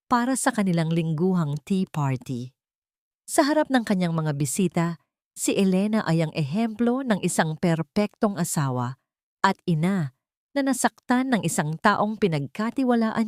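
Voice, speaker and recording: A woman with a fairly low voice reads a scripted drama. Her storytelling is solemn and emotive. The recording is dry, with very little room sound. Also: no room sound. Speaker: narrator.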